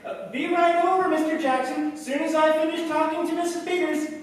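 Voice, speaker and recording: A man's voice: very high voice, fairly even intonation, a little fast, recorded from a distance. A man playing a mild, obliging small-town postman and father in 1940s radio drama style, folksy and a little hesitant. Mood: friendly, obliging. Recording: roomy stage recording from afar, faint noise.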